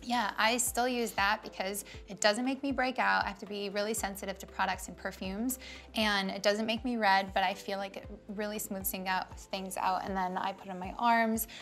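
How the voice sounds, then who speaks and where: Woman, 30s, slightly raspy, giggly self-mocking co-host chatting on a studio couch. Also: mid-range voice, steady tone, moderate pace, a bit bright. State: slightly raspy from an early start. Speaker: fitness vlog co-host. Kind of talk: casual chat. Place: bright studio set, seated on a couch.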